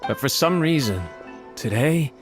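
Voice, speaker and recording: A man's voice: mid-range voice, expressive, measured pace, lightly acted. A man voicing a humble, loyal servant in a video game, with an anxious, deferential, stammering way of talking. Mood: worried. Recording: music playing behind the voice.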